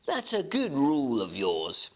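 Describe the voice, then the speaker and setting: A man voicing a grave, inquisitive Victorian gentleman lawyer in a storybook, formal, deliberate and probing. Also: medium pitch, wildly swooping pitch, voice pushed up, full character voice. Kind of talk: dramatic reading of a Victorian novel.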